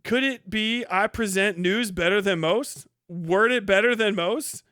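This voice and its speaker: A man's voice: high-pitched, a little slow, pushed and bright, no room sound, clean studio sound. A man hosting a livestream with casual reaction commentary, talking and reading aloud in a blunt, mocking, profane way. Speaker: livestream host.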